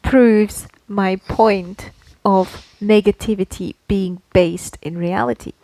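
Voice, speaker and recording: A mid-pitched woman in casual podcast banter, recorded dry with no room sound. She argues her side and pushes back, swearing a little. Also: steady tone, slow pace, dark tone, no room sound, rough recording. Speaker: podcast co-host. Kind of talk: casual argumentative banter between co-hosts.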